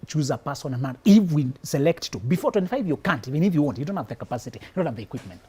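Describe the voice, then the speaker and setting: A male psychologist from Kenya gives relationship advice on a live show. His pitch swings widely as he talks. He sounds preachy and playful, often breaking into laughter. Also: sing-song, very fast, a little mellow, breathy edge. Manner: preachy, laughs often, teasing punchlines, repeats words. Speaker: psychologist guest.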